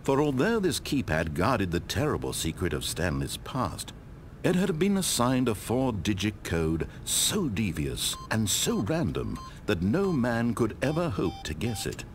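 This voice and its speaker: A man's voice: lowish voice, wildly swooping pitch, measured pace, soft background music. An actor playing a droll, pompous male game narrator, theatrical and wry in his scripted storytelling. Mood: wry, solemn. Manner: theatrical, wry, mock-dramatic.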